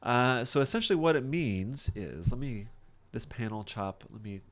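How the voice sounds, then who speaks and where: A man teaching software in a casual screen-share tutorial, thinking aloud informally as he demonstrates each step. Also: mid-range voice, lively intonation, moderate pace. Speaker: software instructor.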